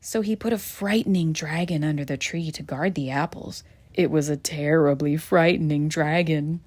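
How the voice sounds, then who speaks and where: A woman reading a children's picture book aloud in a gentle, expressive storytelling manner. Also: deep voice, moderate pace, a little hiss or hum. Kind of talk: children's read-aloud narration.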